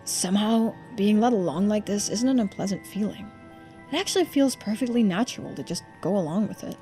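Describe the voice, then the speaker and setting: A woman plays an earnest young man from a video game, thinking aloud in an anxious, conversational way. The pitch sits in a middle range for a woman, with music playing behind the voice. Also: lively intonation, brisk, warm tone, lightly acted. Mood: pensive.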